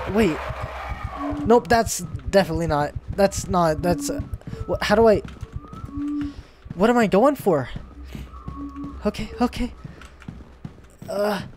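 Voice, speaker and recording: A young man with a stuffy nose, chatting and reacting out loud to scares as he plays a horror game at home. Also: high voice. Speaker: gaming YouTuber. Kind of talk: horror game let's play commentary. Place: home gaming room, indoors.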